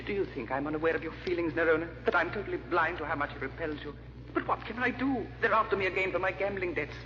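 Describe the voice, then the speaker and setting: An actor playing a scheming, desperate aristocratic brother in a theatrical, wheedling old-time radio style. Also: male voice, on the high side, fast talker, lightly acted. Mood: pressing, agitated. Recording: muffled, hissy old radio recording, echoing reverb.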